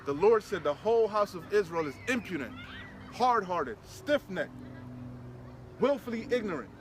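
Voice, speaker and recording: A man's voice: sits high, sing-song, normal speed. A man preaching informally and fierily on scripture, emphatic and repetitive, with plenty of slang. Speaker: street preacher leading a scripture discussion. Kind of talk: fiery informal preaching, commenting on scripture read aloud. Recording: outdoor recording with clear traffic noise.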